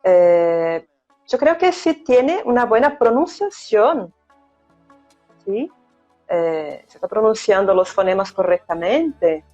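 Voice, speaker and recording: A woman's voice: medium pitch, big pitch swings, takes it slowly, warm tone. Brazilian woman teacher, hesitant, tag questions. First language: Portuguese. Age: middle-aged. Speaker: guest Spanish teacher. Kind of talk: casual chat on a live stream. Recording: dull lo-fi stream audio, faint music.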